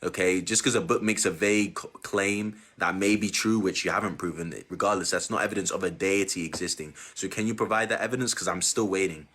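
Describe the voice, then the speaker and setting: A man's voice: low-pitched, fairly even intonation, a little fast, hardly any pauses, sharp tone, slight background noise. A man hosting a live-stream debate, grilling callers with repeated questions in a condescending tone. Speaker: debate host.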